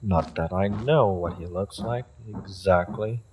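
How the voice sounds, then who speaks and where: A man's voice: low voice, a little slow, background noise. A man playing a haughty evil sorcerer in a fantasy roleplay audio drama, theatrical, condescending and musing. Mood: impatient, dryly amused. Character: haughty evil male sorcerer leading a raid.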